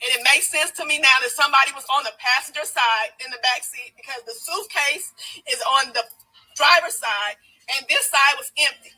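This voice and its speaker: High, piercing, distraught woman's voice. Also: fairly quick, sharp tone, rough recording. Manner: emphatic, repeats herself insistently. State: upset, tearful. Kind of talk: distraught, unscripted spoken account.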